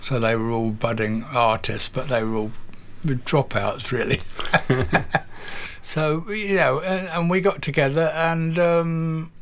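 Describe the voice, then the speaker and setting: Older English man, interview guest; halting, filler-heavy storyteller. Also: mid-range voice, fairly even intonation. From England. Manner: halting, with many fillers and repeated words. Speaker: guest, drummer.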